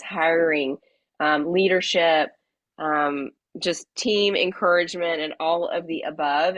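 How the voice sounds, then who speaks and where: A woman hosting a live webinar, talking in a friendly, chatty way with many fillers, slightly raspy from a cold. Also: low voice, a bit bright, no room sound, low-quality recording. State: hoarse from a cold. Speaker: webinar host.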